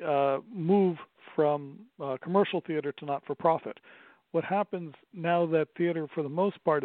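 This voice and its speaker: A middle-aged man, a theater owner speaking as a radio interview guest, pausing often with fillers and restarting his sentences. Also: a little high, no echo. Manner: halting, many fillers and restarts. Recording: dry, tinny phone-like line.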